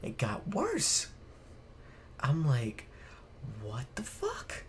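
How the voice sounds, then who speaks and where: A man's voice: a little high, huge pitch swings, extremely slow, a little hiss or hum. A man co-hosting a casual video game podcast on a live stream, ranting bluntly with plenty of swearing. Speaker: podcast co-host.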